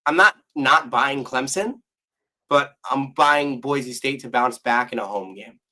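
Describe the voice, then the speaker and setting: A hoarse young man co-hosting a casual sports podcast, losing his voice and restarting his sentences as he rambles on. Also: mid-range voice, a little slow, bright, forceful tone. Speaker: podcast co-host.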